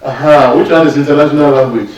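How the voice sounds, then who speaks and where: A Yoruba-speaking man with a Nigerian accent, giving an emphatic, deliberate public address at a workshop. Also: mid-range voice, steady tone, muffled recording. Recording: very echoey room, distant mic.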